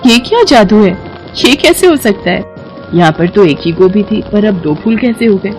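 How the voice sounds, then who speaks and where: A woman voicing a caring, worried poor village mother, tender and earnest, in an animated moral story with music behind. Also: medium pitch, moderate pace, lightly acted. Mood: amazed.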